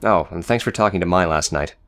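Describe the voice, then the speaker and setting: A man voicing an irritable, gruff young male smoker in audio book dialogue. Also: low voice, fast, fairly bright tone. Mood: subdued.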